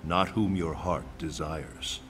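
A man playing a wise, solemn guardian god in a game, speaking gravely and formally. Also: low-pitched, steady tone, slow, fairly bright tone, soft background music. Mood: solemn, encouraging. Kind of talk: video game cutscene acting.

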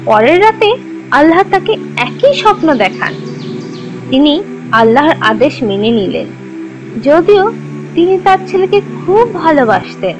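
A woman's voice: high-pitched, very animated, a little slow, long pauses, music in the background, poor audio. A woman storyteller giving scripted narration for children's religious cartoon stories.

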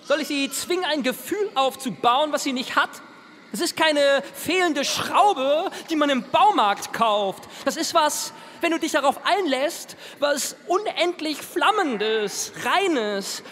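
An actor playing a world-weary yet passionate, exasperated boss in a radio play. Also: male voice, very high voice, sing-song, slow pace, a bit bright, full character voice. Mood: impassioned. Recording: long hall reverb with background noise.

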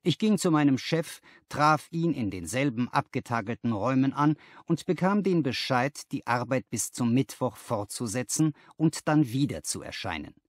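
Animated male audiobook narrator. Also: mid-range voice, normal speed, few pauses, dry recording.

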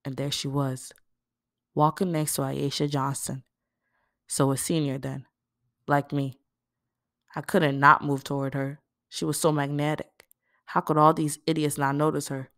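Woman reading a story out loud, pretty low voice with lots of fry, super dry close sound. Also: flat delivery, measured pace, long pauses, no echo. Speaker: audiobook reader. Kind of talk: scripted narration of a first-person novel.

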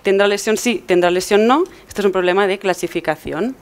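A woman's voice: mid-range voice, fairly quick. A young woman from gives an explanatory technical presentation, somewhat hesitantly, often checking with tag questions. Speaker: conference speaker. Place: medium-sized indoor event room with seated audience.

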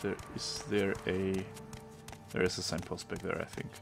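Deep gravelly German-accented man. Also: flat delivery, over music. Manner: chatty, pauses to search for words. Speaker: gameplay streamer. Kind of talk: casual let's-play commentary.

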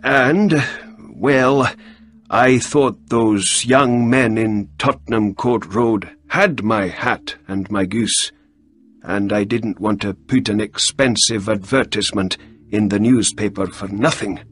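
A man voicing a poor, gentle older gentleman who speaks slowly, quietly and carefully. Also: on the low side. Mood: hesitant.

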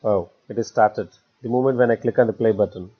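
A man narrating a step-by-step screencast tutorial as an instructor, phrasing haltingly in short, repetitive chunks. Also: lowish voice, breathy edge. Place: voice-over for a screen recording. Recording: dull, lo-fi voice recording.

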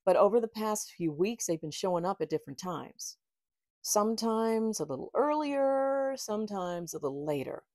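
A middle-aged woman reading aloud from her own book in a measured, deliberate way, with casual asides. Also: mid-range voice, animated, dark tone, no room sound, studio quality. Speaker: author and tarot reader. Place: small book-lined room indoors.

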